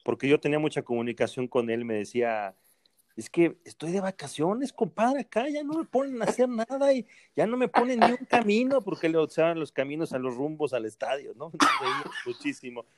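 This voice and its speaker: A man's voice: a little high, brisk. A man, a sports journalist, reminiscing with anecdotes and quoting a friend in a raised voice. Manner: laughing anecdotes, quotes in a raised voice. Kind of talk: reminiscing in conversation.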